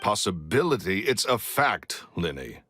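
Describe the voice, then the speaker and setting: An actor playing a haughty, short-tempered rich ex-magician in a fantasy game, curt and condescending. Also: male voice, fairly low pitch, big pitch swings, a little slow, bright, forceful tone, soft background music, heavily acted. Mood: irritated, dismissive. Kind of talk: English-dubbed fantasy game dialogue.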